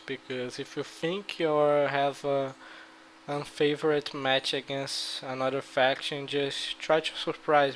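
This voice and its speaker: A man's voice: mid-range voice, a bit bright, slight background noise, low-quality recording. A man from Brazil with a Brazilian accent, casually giving card game strategy advice with loose, rambling speech full of fillers. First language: Portuguese. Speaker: gaming video creator. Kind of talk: casual gaming strategy talk.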